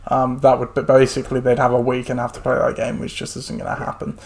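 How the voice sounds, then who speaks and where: A man hosts a relaxed sports podcast, talking in a chatty, meandering way with plenty of ums. His voice sits at an ordinary middle pitch and sounds slightly worn, as he is losing it. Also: quick pace, creaky. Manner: chatty, rambling with many fillers. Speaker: podcast host. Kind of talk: casual sports podcast chat.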